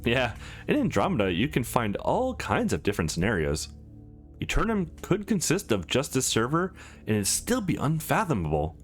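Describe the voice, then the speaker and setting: A man's voice: mid-range voice, huge pitch swings, moderate pace. A man reading the part of an easygoing young male game hero, casual and full of wonder. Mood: impressed. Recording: music behind the voice.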